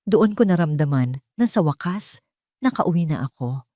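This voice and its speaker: Woman narrating a dramatic story, lowish voice, close and dry. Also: animated, moderate pace, warm tone, no echo. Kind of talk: dramatic first-person scripted narration.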